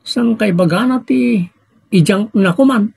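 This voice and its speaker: A man's voice: high-pitched, measured pace, vocal fry, a little mellow, slightly rough, exaggerated voice. A man with a Filipino accent playing a grumbling adult family member in an audio drama, talking conversationally. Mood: concerned.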